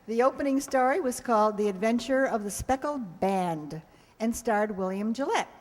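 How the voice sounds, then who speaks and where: A woman with a slightly high voice introduces a live show in a reverberant hall. She reads from a script in a folksy, lightly joking way, speaking to an audience. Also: lively intonation, normal speed. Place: hall stage before an audience.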